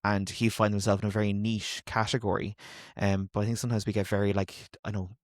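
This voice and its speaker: A male podcast host with a slightly low voice asks his questions haltingly, circling back and rephrasing as he thinks. The recording is dry and close. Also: flat delivery, no echo. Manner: searching, hesitant questions with many restarts. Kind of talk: conversational interview.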